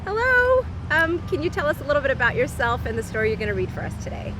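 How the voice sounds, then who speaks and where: High, lively woman hosting. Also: quick pace. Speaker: read-aloud host, teacher. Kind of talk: show introduction. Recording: noisy outdoor recording, traffic sounds.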